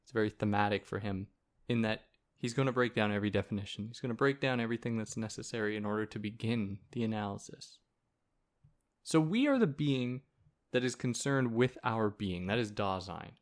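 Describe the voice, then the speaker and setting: A man's voice: on the low side, brisk. Male podcast host, soothing voice, laid-back conversational lecture delivery. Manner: relaxed, conversational, with fillers and asides. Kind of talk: casual explanatory philosophy lecture.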